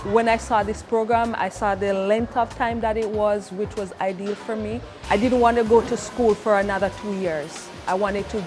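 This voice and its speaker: A woman speaks as a student sharing a personal testimonial, at a middling pitch. Music plays clearly behind her voice as she talks about her own experience. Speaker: trade program student giving a testimonial.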